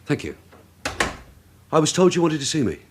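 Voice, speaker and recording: An actor playing a brisk, authoritative police detective sergeant in a radio drama, with a clipped, probing, commanding manner. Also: male voice, a little high, very animated, a little mellow, slight background noise. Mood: businesslike.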